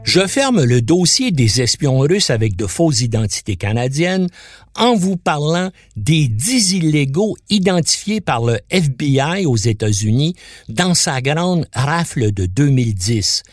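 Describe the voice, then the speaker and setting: Animated man narrating a documentary. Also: fairly high pitch, measured pace, dry recording, studio quality. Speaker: podcast host and narrator.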